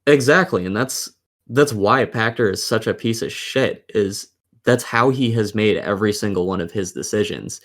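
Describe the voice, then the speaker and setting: A man's voice: lowish voice, moderate pace, fairly bright tone. A man hosting a casual gaming podcast, opinionated and swearing freely as he talks. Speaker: podcast host.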